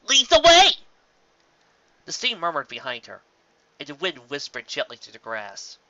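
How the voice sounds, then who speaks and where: Amateur audiobook narrator, mid-pitched and forceful, measured dramatic delivery, dull lo-fi sound. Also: expressive. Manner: measured, occasionally stumbling over words. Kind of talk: scripted fan fiction narration, dramatic reading.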